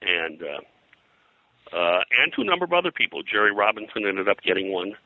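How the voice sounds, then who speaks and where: An older man speaking as an interview guest and historian, telling anecdotes in a casual, halting, discursive way. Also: on the low side, sing-song, bright, forceful tone, no room sound, low-quality recording. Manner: halting, discursive, hesitant phrasing.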